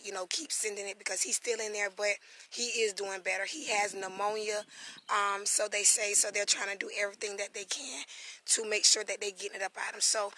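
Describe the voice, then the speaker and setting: A hoarse woman from South Carolina, chatting loosely on a live-stream vlog outdoors on family land, greeting viewers by name as she goes. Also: medium pitch, pushed and bright. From South Carolina, USA. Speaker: spiritual reader and vlogger. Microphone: phone camera.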